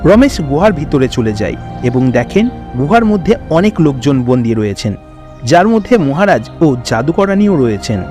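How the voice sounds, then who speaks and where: A man tells a fairy tale as a cartoon narrator. His scripted delivery is lively, with wide pitch movement in a fairly high voice. Music plays in the background. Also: moderate pace.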